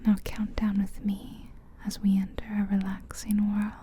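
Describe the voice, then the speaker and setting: A woman whispering a slow, soothing guided visualization as an ASMR host, close to the mic. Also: medium pitch, completely flat delivery, dark tone, slight background noise. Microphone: close mic, ear to ear.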